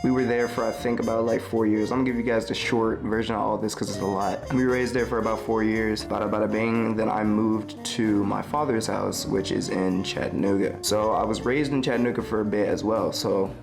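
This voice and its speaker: A man's voice: fairly low pitch, fairly even intonation, brisk, vocal fry, few pauses. A young man from Tennessee telling his life story in a casual, slangy, rambling way, often correcting himself. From Tennessee, USA. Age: young adult. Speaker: vlogger. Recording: music playing under the voice.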